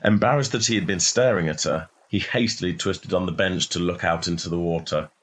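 Low-pitched man reading a story. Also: brisk. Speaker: short story narrator. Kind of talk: scripted literary narration, audiobook-like storytelling.

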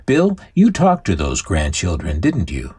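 A man co-narrates as host in a conversational documentary style. His voice sits a little low and is husky, with very animated, varied intonation. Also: unhurried.

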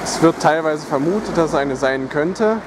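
A man giving casual, halting travel narration outdoors into a handheld camera. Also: fairly high pitch, expressive. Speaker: travel vlogger. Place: outdoors by streets. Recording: very noisy outdoor camera sound, traffic. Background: loud outdoor and car noise.

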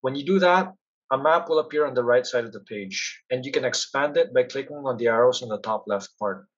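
A man presenting a software demo in an instructional, step-by-step explanatory style over a screen share. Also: medium pitch, brisk, muffled recording.

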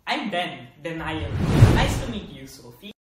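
A young performer voicing a friendly village man who talks in a slow, soothing, reassuring way. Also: medium pitch, very animated, heavy background noise, voice pushed down. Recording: echoey room, voice far from mic.

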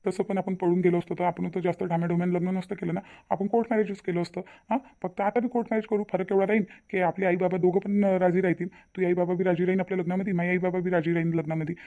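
A man's voice: high-pitched, level tone, rapid-fire, hardly any pauses, narrow, tinny audio. A man with a Varhadi Marathi accent voicing a devoted, insistent young fiance in a cartoon family drama. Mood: persuasive. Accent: Varhadi Marathi from Vidarbha. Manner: colloquial, emphatic repetition.

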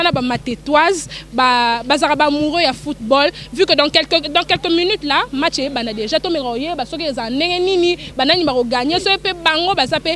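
A young woman with a Congolese accent hosts a street interview, her voice high and chatty. She speaks into a handheld microphone outdoors among a crowd in a city neighbourhood. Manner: lively, chatty, mixes French and Lingala. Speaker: street reporter. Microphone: handheld mic.

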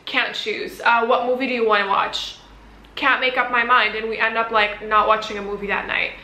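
A young woman in her twenties from Belgium with Dutch as her first language talks casually to the camera. Her voice is crisp and bright and sits a little high. The sound is a bit echoey, like a room at home. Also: normal speed. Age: 20s. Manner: chatty, animated hand gestures. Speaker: vlogger. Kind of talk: Q&A vlog, casual chat to camera.

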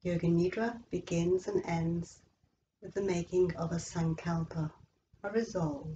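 A woman leading a guided yoga relaxation meditation in a slow, measured, soothing way with long pauses. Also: fairly low pitch, fairly even intonation, mellow, highs cut off, a little hiss or hum. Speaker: yoga nidra instructor.

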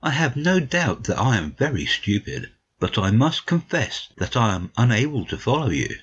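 A man playing a modest, polite gentleman narrator, the detective's puzzled friend, in a dramatic audiobook on a dull recording. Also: medium pitch, big pitch swings, moderate pace, slightly rough. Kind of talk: dramatic audiobook reading, storytelling.

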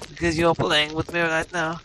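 A man's voice: on the high side, completely flat delivery, moderate pace, natural voice. Guy doing a leering shameless lady adventurer, broken english, hiss on the mic. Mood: pleading. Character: brazen, lewd adult woman adventurer. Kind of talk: improvised comedy voice-over over gameplay.

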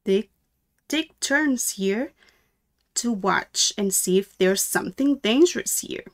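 A middle-aged woman reading a children's picture book aloud in a sing-song, deliberate way with exaggerated intonation. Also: medium pitch, expressive. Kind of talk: children's read-aloud, slow and expressive.

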